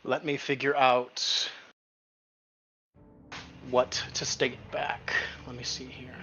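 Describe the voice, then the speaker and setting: A man talking as a player in a tabletop game, casual but deliberate and precise. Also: medium pitch, little pitch movement, pushed and bright, muffled recording, a little hiss or hum. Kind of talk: casual table talk, reading out own drafts.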